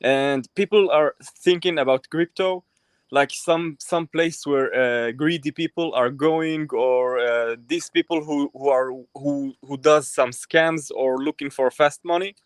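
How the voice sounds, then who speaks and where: A man from Israel, pitching his crypto project in a hesitant chat full of ums and restarts. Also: unhurried, smooth, no room sound. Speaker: crypto project team member. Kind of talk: casual livestream chat.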